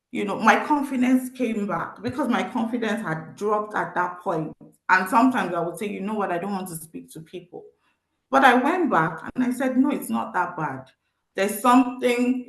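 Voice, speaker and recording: Nigerian-accented woman, event speaker; earnest, encouraging, repeats for emphasis; echoey room. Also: medium pitch. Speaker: speaker at a teen event, social worker. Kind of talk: motivational talk to teens. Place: event venue.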